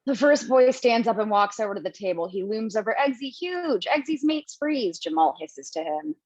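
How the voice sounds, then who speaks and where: A woman narrating stage directions in an amateur table read over a video call, brisk and quick to laugh. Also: a little high, expressive, no room sound, dull audio.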